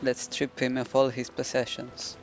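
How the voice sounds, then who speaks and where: A man's voice: mid-range voice, completely flat delivery, moderate pace, faint music behind, low-quality recording. A man streaming a game, giving casual playthrough commentary and reading dialogue aloud.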